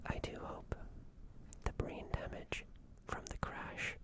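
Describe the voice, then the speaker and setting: A streamer voicing a gentle, comforting alien in a whispered ASMR roleplay, soothing and a little hesitant and naive. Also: slow pace, close to an everyday voice. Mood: gentle, reassuring. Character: gentle, comforting green alien caring for a crashed human.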